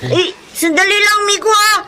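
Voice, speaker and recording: A woman's voice: very high-pitched, normal speed. A woman voicing a playful, stubborn small boy with an eager, childlike manner in a Filipino radio drama. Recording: noisy track with sounds behind.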